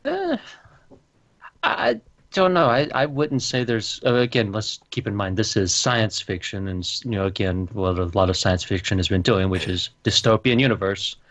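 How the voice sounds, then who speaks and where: A man co-hosting a casual podcast, measured and careful to qualify his points. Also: fairly low pitch, lively intonation, fairly bright tone, no room sound. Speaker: podcast co-host. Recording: dull, dry lo-fi mic.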